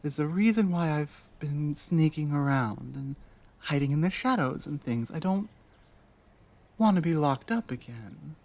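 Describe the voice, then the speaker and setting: A woman playing a timid, wary orc outcast, speaking haltingly with stammered restarts in an ASMR roleplay drama. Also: low-pitched, lively intonation, darkish tone. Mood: wary, defensive.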